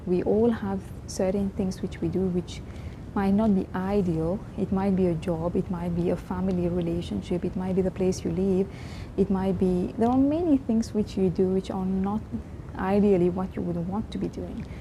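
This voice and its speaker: A woman in her twenties from Mauritius, whose first language is Creole, talking earnestly and reflectively in a personal vlog, pausing and restarting often. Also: medium pitch, fairly even intonation, noisy background. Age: 20s. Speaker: vlogger. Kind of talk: casual personal vlog talk.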